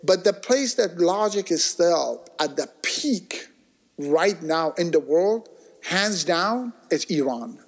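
Animated, rambling man telling stories. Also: sits high, slow. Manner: animated, discursive, many restarts and acted-out quotes. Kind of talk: casual conversational storytelling.

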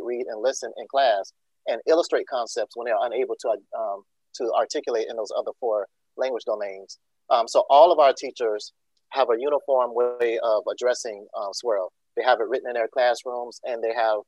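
A man's voice: mid-range voice, a little mellow, no room sound, rough recording. A man from Georgia, a school district leader answering interview questions with many ums and restarts. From Georgia, USA. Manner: many ums and uhs, restarts, earnest explaining.

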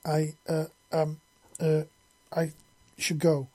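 Guy doing a nice innocent alien bug guy for a story, gets flustered easy, husky. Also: a little high, expressive, moderate pace, dark tone. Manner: earnest, hesitant when flustered. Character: sweet, innocent, easily flustered male insectoid alien engineer.

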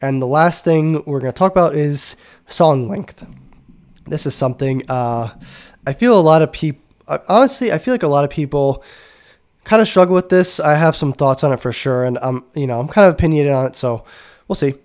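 A man's voice: fairly high pitch, a little fast, darkish tone. A man hosting a casual music podcast, sounding stuffy and nasal from a cold, talking enthusiastically with rambling asides and self-corrections. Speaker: podcast host.

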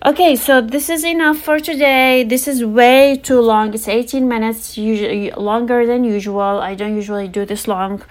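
A woman hosting a casual language lesson podcast, teaching everyday phrases and saying them slowly for listeners to repeat after her. Her first language is English. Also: on the high side, fairly even intonation.

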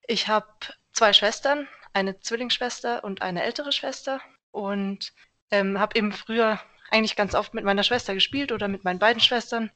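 A young woman from Germany, a civil engineer answering interview questions in a relaxed, thoughtful way with many small fillers. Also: mid-range voice, moderate pace. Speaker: podcast guest, civil engineer.

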